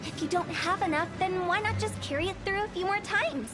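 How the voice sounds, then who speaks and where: Playful cryptic young woman, very high voice, teasing and theatrical, video game dialogue, loud music behind. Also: brisk.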